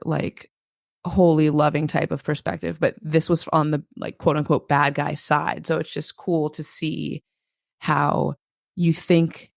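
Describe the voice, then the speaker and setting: A woman with a low voice, a podcast guest talking casually and reflectively, thinking aloud with frequent filler words. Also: gravelly, a little mellow, no echo, studio quality.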